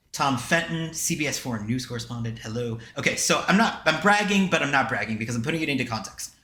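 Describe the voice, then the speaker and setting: Guy podcasting, playful n rambly, sharp bright voice, roomy echo. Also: medium pitch. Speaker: podcast host. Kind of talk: casual chat.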